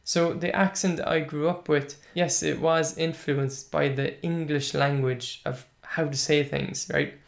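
A man with an Irish accent from County Clare, whose first language is Irish, hosting a casual solo podcast and reading out comments in a chatty, relaxed way. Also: on the high side, measured pace, creaky, hardly any pauses. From Ireland. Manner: chatty, laughs often, relaxed and conversational. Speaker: podcast host.

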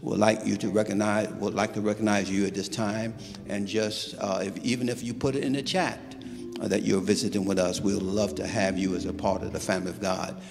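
A man's voice: lowish voice, steady tone, brisk, gravelly, over music. A middle-aged male pastor welcoming his congregation from the pulpit, calling for amens. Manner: warm call-and-response greeting. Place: large church hall. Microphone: stand mic at pulpit. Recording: reverberant church hall.

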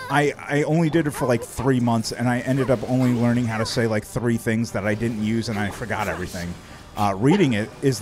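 A man chatting casually while he streams a game, his speech loose and rambling, full of "like" and "uh" and restarts. He talks into a close studio mic in a small home room, with faint game music underneath. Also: mid-range voice. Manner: rambling, many fillers and restarts. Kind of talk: casual chat while gaming. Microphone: studio mic in front of face.

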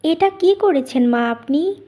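A woman's voice: sits high, lively intonation, unhurried, warm tone. A woman voicing a kind, dutiful young daughter-in-law in a cartoon story. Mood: caring. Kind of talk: Bengali cartoon story dubbing.

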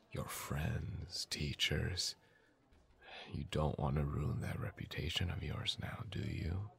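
A man acting a gruff, guarded young bully softening toward his sweetheart, in an intimate, halting ASMR roleplay, often near a whisper. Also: rumbling low, full character voice. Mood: wary, sardonic. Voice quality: husky, gravelly, breathy voice.

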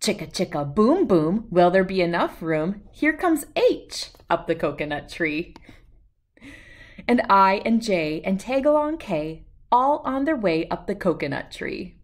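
A woman in her thirties reading a picture book aloud to young children in an expressive, playful, rhythmic way. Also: mid-range voice, a little slow. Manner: expressive, playful, sing-song rhythmic delivery. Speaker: children's read-aloud host.

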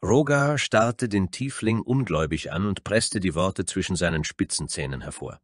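Deep-voiced male fantasy narrator. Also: lively intonation, normal speed, no room sound, clean studio sound. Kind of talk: scripted dramatic fantasy narration.

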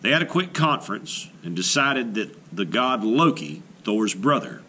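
A man reading a children's storybook aloud with expressive, theatrical, deliberate narration. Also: lowish voice, a little hiss or hum.

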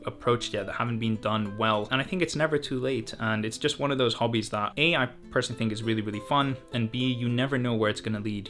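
A man in his twenties, a medical student answering viewer questions in a casual vlog at home. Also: medium pitch. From Greece. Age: 20s. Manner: quick, energetic, reads out viewer questions. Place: home room or desk setup. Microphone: camera facing him at close range.

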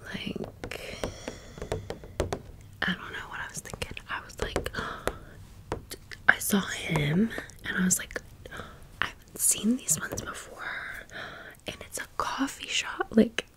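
Female ASMR host, breathy unvoiced whisper, unhurried pace, chatty sassy commentary. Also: mid-range voice, animated. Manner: casual, gushing, sassy slang. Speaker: ASMR video creator. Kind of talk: ASMR doll haul, rambling commentary.

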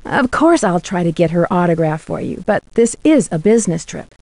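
A woman's voice: lowish voice, big pitch swings, moderate pace, a little mellow, dry recording. A young American woman voicing an inquisitive, teasing amateur detective in a video game, in scripted lines. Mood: chatty, intrigued. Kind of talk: scripted game dialogue and letter narration.

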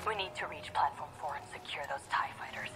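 A woman's voice: sits low, brisk, over music, lightly acted. An actress playing a steely, decisive female military commander in a video game, with clipped, authoritative delivery. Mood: brisk, determined. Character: steely female Imperial special forces commander, curt and decisive.

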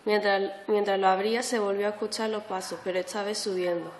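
A woman's voice: mid-range voice, little pitch movement, a little fast. A teenage girl from Spain narrating a scripted horror story. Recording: very noisy, echoey amateur recording.

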